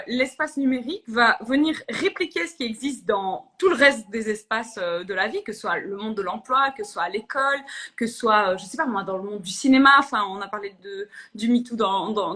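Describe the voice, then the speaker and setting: A woman's voice: fairly high pitch, sharp tone. A young woman, a politician guest talking earnestly in a live interview, with many hesitations and restarts. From Belgium. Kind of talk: live interview on social media.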